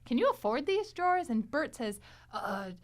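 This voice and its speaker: High-voiced woman chatting animatedly. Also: normal speed. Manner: animated, chatty, often echoing agreement. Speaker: podcast host. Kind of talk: casual group chat.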